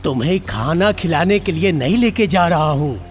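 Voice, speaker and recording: A man's voice: on the high side, expressive, normal speed, faint music behind, natural voice. A man voicing a scheming, henpecked male crocodile in a children's cartoon story, with a coaxing, theatrical manner. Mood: menacing.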